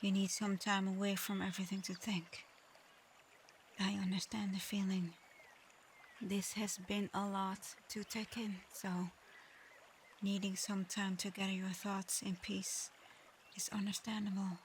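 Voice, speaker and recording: A woman plays a proud dragon girl in human form, guarded and easily flustered. She speaks formally and slowly, in a narrow, even line that can catch into shy stammers. It is an intimate ASMR roleplay, with clear ambient noise behind the voice. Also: medium pitch, lightly acted. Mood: softened, earnest. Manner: halting, stammering when flustered, otherwise measured and formal. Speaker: audio roleplay performer.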